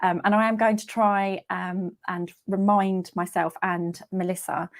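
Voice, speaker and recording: A woman's voice: fairly low pitch, level tone, measured pace, gravelly. A woman hosting an online video-call session, chatty and giggly, with plenty of ums. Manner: chatty, giggly, many fillers. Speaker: host.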